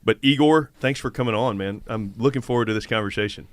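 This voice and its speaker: A man's voice: mid-range voice, fast talker, no echo. An American man hosting a conversational podcast interview in an informal, encouraging way. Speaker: podcast host, army sergeant major.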